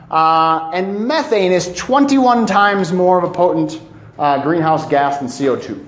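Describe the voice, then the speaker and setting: A man presenting an informal educational talk, speaking emphatically and haltingly, often repeating key phrases for weight. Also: on the high side. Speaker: presenter giving a climate talk. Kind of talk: informal educational lecture to a live audience. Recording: echoey room.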